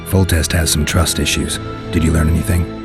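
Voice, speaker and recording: An actor playing a weary, sardonic warrior in a video game, with a gravelly voice and a dry, laconic manner. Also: male voice, very deep voice, level tone, moderate pace, music in the background. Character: weary, sardonic monster-hunting warrior, gruff adult man. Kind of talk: video game cutscene acting.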